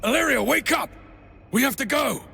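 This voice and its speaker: Man doing a high, gravelly, urgent warrior. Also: animated, normal speed, full character voice. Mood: urgent, alarmed. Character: urgent male warrior rousing his fallen companion. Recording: music behind the voice.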